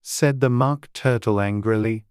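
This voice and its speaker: Very deep-voiced woman narrating a storybook. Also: a little slow, darkish tone, clean tone, no echo, clean studio sound. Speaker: audiobook narrator.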